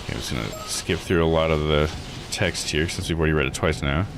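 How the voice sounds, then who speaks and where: A man's voice: rumbling low, fairly even intonation, fast talker, noisy background. A man live-streaming a visual novel, reading text aloud and musing casually as he plays. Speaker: game streamer.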